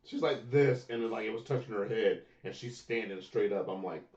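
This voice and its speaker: A man co-hosting a casual wrestling podcast, jumping in with short reactions and quick questions. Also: fairly low pitch, darkish tone. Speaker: podcast co-host. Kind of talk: casual chat about pro wrestling. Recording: roomy, dull lo-fi sound.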